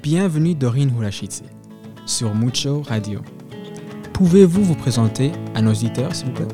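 A man hosting a cultural radio program, reading a formal, measured introduction of his guest. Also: medium pitch, lively intonation, over music. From African diaspora in Canada. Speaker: radio host.

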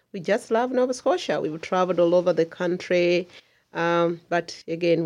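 Earnest woman, low-pitched. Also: darkish tone. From Uganda. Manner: earnest, emphatic and heartfelt. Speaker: community association chair. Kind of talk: radio interview.

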